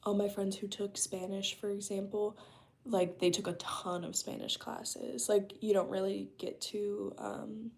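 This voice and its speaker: A woman's voice: medium pitch, creaky, a little mellow. A woman in her early twenties, chatting casually with her viewers from home, her talk loose and peppered with "um" and "like.". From United States. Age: early 20s. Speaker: vlogger chatting with her live chat. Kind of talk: casual chat while working. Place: her home, working remotely.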